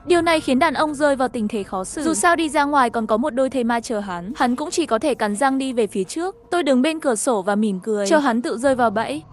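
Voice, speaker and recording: High-pitched woman narrating a story. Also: lively intonation, fast talker, few pauses. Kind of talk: scripted first-person story narration. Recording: music behind the voice.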